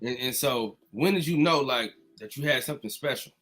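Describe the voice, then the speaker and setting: A man hosting a podcast, opening with loud hyped greetings and talking in a loose, slangy way. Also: mid-range voice, fairly quick. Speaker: podcast host. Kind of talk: casual podcast chat.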